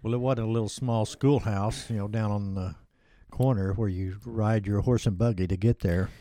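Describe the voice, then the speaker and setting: A man's voice: fairly low pitch, steady tone, moderate pace, warm tone, dry recording. A man co-hosting a church podcast in casual chat, folksy and self-deprecating, often restarting his sentences. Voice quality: says he sounds like a hick. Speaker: podcast co-host.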